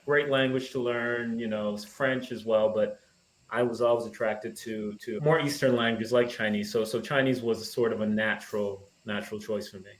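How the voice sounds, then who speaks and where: A man's voice: lowish voice, steady tone, moderate pace. A man from Barbados with a mild mix of Caribbean and American accent, talking about his life as a guest in an easygoing, reflective way with frequent fillers. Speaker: screenwriter guest. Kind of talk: relaxed interview conversation. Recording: echoey room with faint ambient noise.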